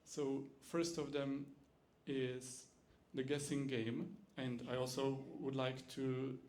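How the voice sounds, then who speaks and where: A man's voice: mid-range voice, flat delivery, takes it slowly, a little mellow. A man from the Czech Republic with a Czech accent, a university lecturer giving a teaching demonstration in English, his second language, with frequent fillers and restarts.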